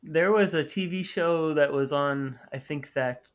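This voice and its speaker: Higher-pitched male podcast host. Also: unhurried. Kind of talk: casual podcast banter and sign-off.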